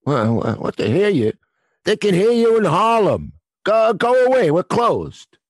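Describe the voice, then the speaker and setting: An actor playing a gruff theater night watchman with a blunt, working-class New way of talking, in an audio drama. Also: high-pitched, huge pitch swings, unhurried, no room sound, exaggerated voice. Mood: irritated.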